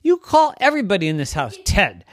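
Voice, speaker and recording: A storyteller voicing a talking house cat who is fed up at never being understood, in a squeaky indignant voice. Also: wildly swooping pitch, a little fast, no room sound. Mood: aggrieved.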